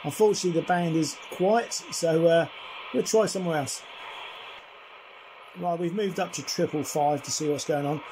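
A man chatting casually and at some length as he demonstrates a CB radio, in a friendly, slightly husky voice. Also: fairly high pitch, moderate pace, long pauses, background noise. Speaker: CB radio reviewer and operator. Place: indoors at a home radio desk.

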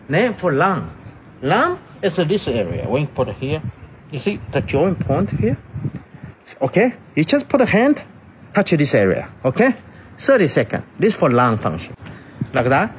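A man's voice: fairly high pitch, background noise. A middle-aged man, not a native English speaker, leading an exercise demonstration outdoors in short, simple, repeated phrases and counts. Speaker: health exercise instructor. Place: outdoor terrace by a brick building.

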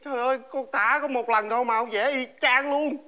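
An actor playing an eager, flattering servant in a comic fairy-tale drama, with a Southern Vietnamese accent and a repetitive, stammering delivery. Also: male voice, very high-pitched, fairly quick. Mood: awed, flattering. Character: eager, flattering male servant of a rich master.

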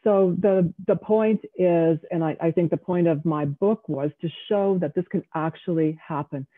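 A middle-aged woman speaking as an author on a panel, explaining in a measured, emphatic way over a video call. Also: fairly low pitch, no echo. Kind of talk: panel discussion answer. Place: home room with bookshelves. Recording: tinny, dry phone-like audio.

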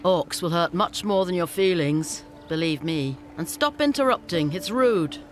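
An actress playing a shrewd female scavenger leader in a fantasy game, brisk and businesslike with a teasing edge. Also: fairly low pitch, expressive. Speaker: game character. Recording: game audio with faint music.

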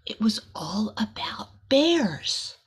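A middle-aged woman reads a picture book for young children. Her pitch is medium and her pace is slow and deliberate. She tells it expressively and adds casual asides, recorded in a small room. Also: very animated, breathy edge, whispered.

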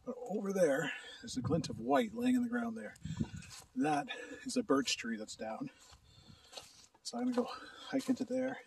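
Gravelly outdoor vlogger man. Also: very high voice, moderate pace, pauses often. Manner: relaxed, laughs easily, talks while working. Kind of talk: casual narration while camping. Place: rainy forest and lakeshore outdoors. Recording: outdoor camera audio from a distance, faint noise.

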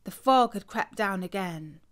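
A woman reading a scripted bedtime story for children in an expressive way, acting out the dialogue. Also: mid-range voice, unhurried.

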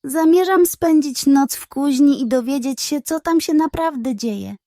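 A woman acts out a male pig detective from a bedtime storybook. He is stern and resolute, speaking high and emphatically in a very dry, close sound. His manner is firm. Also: unhurried, warm tone, no echo. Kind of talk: children's bedtime story character voice.

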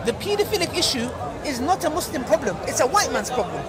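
A man's voice: extremely high pitch, sing-song, fast talker. A man preaching in an open-air debate, pressing his points with constant "yeah?" tag questions. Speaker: street preacher. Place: outdoor public square with a crowd. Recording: loud crowd noise outdoors. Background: loud crowd chatter.

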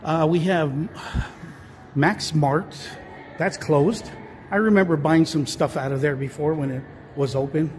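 High-voiced man rambling on a mall walk. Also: fairly even intonation, a little slow, long pauses, darkish tone, a little hiss or hum. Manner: rambling, many fillers and restarts. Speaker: vlogger touring a mall. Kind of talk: casual walking tour narration. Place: large indoor shopping mall. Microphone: handheld phone camera, speaker off screen.

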